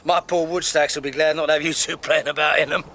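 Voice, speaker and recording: Higher-pitched male servant, bright, deferential, dry, faint background noise. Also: little pitch movement, fast. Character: deferential, dry English gardener in service. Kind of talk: radio drama acting.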